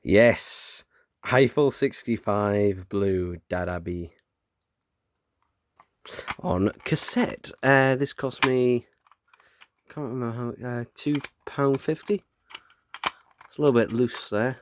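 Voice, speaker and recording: A British man with a croaky voice from hay fever, chatting casually as he unboxes and rambles with lots of fillers and restarts. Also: medium pitch, slow pace, pauses often. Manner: rambling, filler-heavy, self-correcting. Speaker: unboxing vlogger. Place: room at home with window open.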